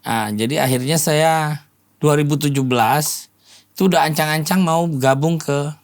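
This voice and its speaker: Higher-voiced Indonesian man telling stories. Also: moderate pace. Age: 40s. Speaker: podcast guest, political volunteer and former journalist. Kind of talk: casual interview storytelling.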